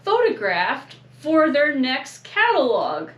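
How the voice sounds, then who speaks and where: High-voiced chatty woman, echoey room. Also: wildly swooping pitch, slow. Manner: chatty, self-mocking, laughs often. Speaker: co-host. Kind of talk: casual chat.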